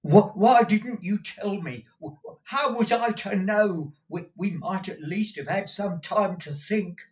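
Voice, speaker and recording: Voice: medium pitch, slow pace, a little mellow, far from the mic, highs cut off, pitched above the performer's own voice, lightly acted. A reader doing a frantic, stammering old clerk. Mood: flustered. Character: agitated eccentric older male clerk. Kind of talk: audiobook character voice.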